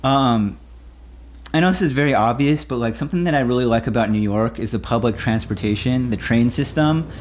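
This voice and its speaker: A man's voice: medium pitch, brisk, a little hiss or hum. A man in his thirties chatting casually in a weekly vlog, calling his viewers "my friends", recorded on a handheld mic in a small apartment. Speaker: vlogger. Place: small apartment room, indoors. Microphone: handheld shotgun mic on boom cable.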